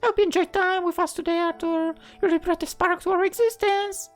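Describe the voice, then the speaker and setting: A woman's voice: very high-pitched, steady tone, quick pace, voice pushed up. A woman voicing a flustered, caring teenage schoolgirl in a visual novel, stammering and twitchy, with music behind her. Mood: playful, warm. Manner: stammering, twitchy when embarrassed. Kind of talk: visual novel character dialogue.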